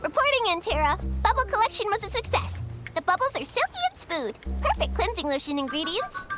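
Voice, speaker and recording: A woman's voice: very high-pitched, big pitch swings, brisk. A woman voicing a cheeky, eager young plush villager in a video game, perky and childlike. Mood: chirpy, teasing. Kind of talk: video game character acting. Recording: loud game music behind the voice.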